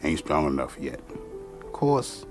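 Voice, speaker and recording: Deep-voiced laid-back eccentric mystic. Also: male voice, very animated, unhurried, music in the background, heavily acted. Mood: amused, musing. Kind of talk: radio drama acting.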